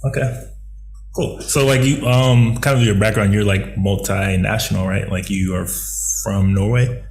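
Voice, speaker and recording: A man hosts a casual sit-down interview, his voice a little low and gravelly with fry. He rambles through his questions, piling in fillers before getting to the point. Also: fairly even intonation, a little slow, a little mellow, faint music behind.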